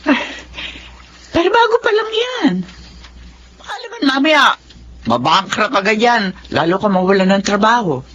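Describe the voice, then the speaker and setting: A woman acting an earnest, worrying middle-aged Filipina mother in a Tagalog radio drama, with an expressive, emphatic delivery. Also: fairly high pitch, normal speed, pauses often. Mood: scolding, worried. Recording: dull lo-fi audio with faint noise.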